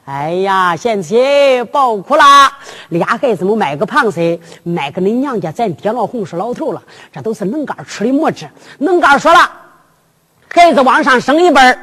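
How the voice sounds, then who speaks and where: A woman storyteller playing a cheeky, blustering young fisherman with a Henan accent and a folksy, excitable manner. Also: on the high side, moderate pace, pitched below the performer's own voice. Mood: coaxing. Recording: long reverberant hall echo.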